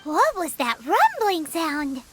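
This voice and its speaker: Game fairy mascot: extremely high, swooping squeak, cutesy, excitable, childlike. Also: female voice, takes it slowly, slight background noise, exaggerated voice. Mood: indignant, curious. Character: tiny excitable childlike fairy companion, female, talks in third person. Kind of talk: video game voice acting.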